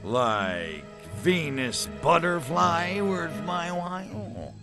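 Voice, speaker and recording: A small, sarcastic gray alien with an American drawl. The voice is full of gravel and takes its time, sliding up and down as it cracks wise. It is game cutscene acting with music behind it. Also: male voice, a little high, animated, extremely slow, heavily acted. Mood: brash, cocky. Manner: sarcastic, brash, drawling wiseguy. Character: small gray alien invader, cocky wisecracking male.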